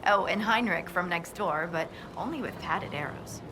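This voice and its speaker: Friendly dwarf scout woman, game dialogue, slightly low pitch, candid and chatty, clear ambient noise behind. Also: animated, normal speed. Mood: playful. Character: friendly young female dwarf scout and archer. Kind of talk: natural video game dialogue.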